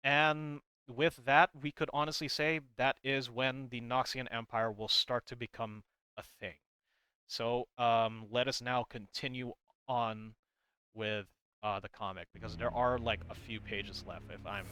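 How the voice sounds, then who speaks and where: A man with a bright, crisp voice talks through game lore in a casual explainer style. His delivery rambles and hedges as he works through his commentary. The sound is dry, with hardly any room on it. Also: mid-range voice, steady tone, takes it slowly, no echo. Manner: rambling, hedging, reads comic text aloud between comments.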